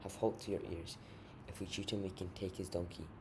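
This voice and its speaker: A man's voice: low voice, flat delivery, fairly quick, natural voice. A man voicing a stubborn, hot-tempered frontier child in a story, blunt and defiant. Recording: noisy amateur home recording.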